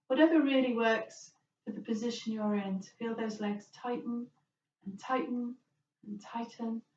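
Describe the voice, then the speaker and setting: A woman leads a guided relaxation as a yoga teacher, speaking in a gentle, reassuring way at a medium pitch. She invites the listener to choose whatever suits them. The recording is roomy and somewhat distant from the microphone, with a dull tone. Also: a little slow, slight background noise.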